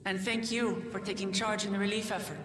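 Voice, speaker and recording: A woman's voice: medium pitch, level tone, close to an everyday voice. Earnest young female ruler, played by an actress, music behind. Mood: composed, formal. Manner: measured, earnest, formal. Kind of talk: dramatic video game cutscene acting.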